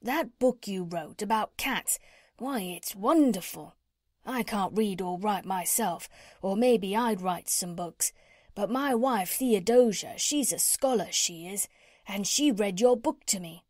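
A woman's voice: medium pitch, expressive, unhurried, darkish tone, dry recording, clean studio sound. A woman voicing a chatty, scheming working-class tradesman from a children's storybook, with a folksy, persuasive, ungrammatical way of talking. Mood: admiring. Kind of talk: children's storybook character reading.

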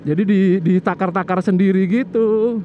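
A man with a high voice talks through a scooter tip in a loose, rambling way, often stopping to restart a phrase. He is recorded on an action camera outdoors, so the sound is muffled and dull, with faint traffic behind him. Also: fairly even intonation, normal speed. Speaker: motovlogger. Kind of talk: casual how-to vlog chat. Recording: muffled action-cam audio with street noise.

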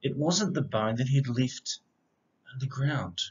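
A middle-aged man reading a rhyming children's picture book aloud in an expressive, measured storytelling manner. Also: mid-range voice, slightly rough. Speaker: storybook reader, educator. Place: indoor room. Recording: slightly dull, lo-fi webcam sound.

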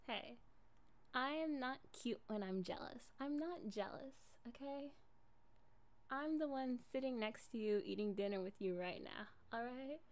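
A woman's voice: a little high, measured pace. A young woman playing an affectionate, jealous girlfriend in an improvised ASMR roleplay, casual and coaxing in an intimate way. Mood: teasing, pouty.

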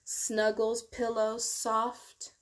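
A woman's voice: fairly high pitch, completely flat delivery. A young woman reading a picture book aloud to children expressively, drawing out key words and explaining it in simple terms. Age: young adult. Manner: slow, deliberate, drawn-out key words. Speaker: teacher reading a children's storytime.

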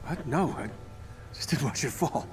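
An actor playing a wisecracking armored superhero inventor in a video game, muttering wryly to himself. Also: male voice, a little high, expressive, moderate pace, darkish tone, natural voice. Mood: shaken, relieved.

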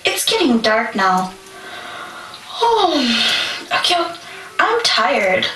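A careful, obedient young sister in a puppet play, acted by a woman. The delivery is childlike, slow and singsong, with a bright tone. The room is echoey. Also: high-pitched, sing-song, heavily acted. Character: wary, obedient little girl, an elder sister. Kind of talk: puppet show stage acting. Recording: distant echoey room, faint noise.

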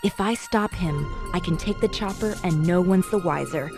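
A woman's voice: on the low side, normal speed, lightly acted. A woman playing a determined ex-agency operative in a video game, speaking in a terse, no-nonsense way. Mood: focused, businesslike. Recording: music behind the voice.

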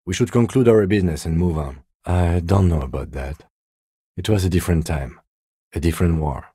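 Reserved game detective, very low voice, dark and mellow, formal, deadpan, dryly courteous. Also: male voice, fairly quick, slightly rough, dry recording, studio quality. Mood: measured, matter-of-fact. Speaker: voice actor. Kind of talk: video game dialogue acting.

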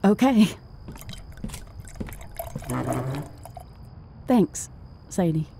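A woman's voice: medium pitch, animated, slow pace, dark tone, slight background noise. An actress playing a shy, polite, self-doubting woman in an audio drama, timid and hesitant. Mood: nervous.

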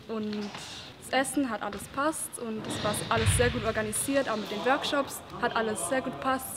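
A teenage girl from Germany speaks to camera in a sports hall, chatty and cheerful. Her voice sits a little high. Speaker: camp participant. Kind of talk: interview testimonial.

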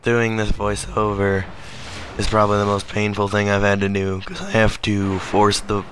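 A man with a hoarse, strained voice that keeps cracking, clearing his throat often as he talks casually over gameplay footage. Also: lowish voice, completely flat delivery, moderate pace, a bit bright, a little hiss or hum, rough recording. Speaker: gaming channel host.

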